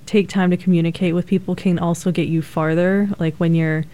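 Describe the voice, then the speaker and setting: A young woman chats casually in a group discussion, leaning on "like" and "um" as she thinks aloud. Her voice is low-pitched for a woman and smooth. She keeps an easygoing, unhurried rhythm. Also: little pitch movement, warm tone. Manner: casual, filler-heavy with frequent 'like'.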